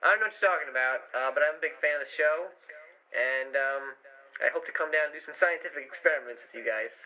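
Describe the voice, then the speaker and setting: A man voicing a confused, rambling scientist who phones in, with a husky, mumbled voice. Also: on the high side, quick pace, lo-fi sound, lightly acted. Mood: goofy. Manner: rambling, mumbled prank-call voice.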